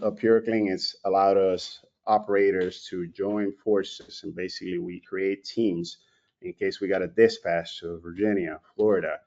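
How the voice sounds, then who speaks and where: Low-voiced man presenting over lo-fi video call. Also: warm tone. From Puerto Rico. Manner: informal, with many fillers and restarts. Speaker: company president. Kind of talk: presentation at an online meeting.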